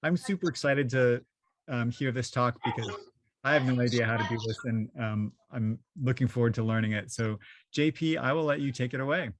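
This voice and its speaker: A man's voice: medium pitch, brisk. A man hosting a meetup on a video call, introducing the speaker hesitantly with many fillers. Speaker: meetup host.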